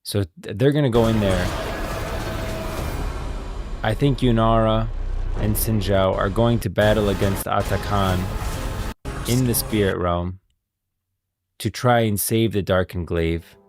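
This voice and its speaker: A man's voice: fairly low pitch, steady tone, a little slow, pauses often, music in the background. A man hosting a stream, giving emphatic, explanatory commentary on video game lore, part read and part off the cuff.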